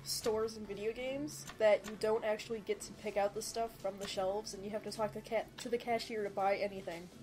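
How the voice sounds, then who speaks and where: A woman's voice: mid-range voice, steady tone, a little fast, hardly any pauses, over music. A woman with a cold, sounding stuffy, making wry, teasing remarks while watching a video game. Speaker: let's play co-commentator. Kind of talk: casual game commentary.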